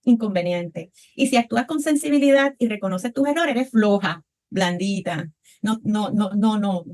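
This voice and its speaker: A woman's voice: mid-range voice, normal speed, darkish tone. A woman from Puerto Rico with a Puerto Rican accent, answering as a panelist in a reflective way with frequent tag questions. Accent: Puerto Rican Spanish.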